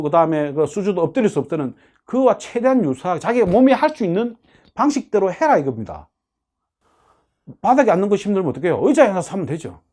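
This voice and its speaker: A man's voice: high voice, darkish tone. A man giving an explanatory religious lecture as a teacher, often posing questions and answering them himself. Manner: didactic, asks and answers own questions.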